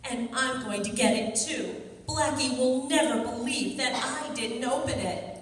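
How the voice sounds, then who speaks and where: A woman's voice: high voice, unhurried. A woman playing a sassy, defiant young woman who is quippy and indignant, in an old-time radio detective drama. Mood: pleading, anxious. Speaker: amateur radio theatre actor. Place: live stage performance in a large room. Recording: very echoey hall from far off, faint noise.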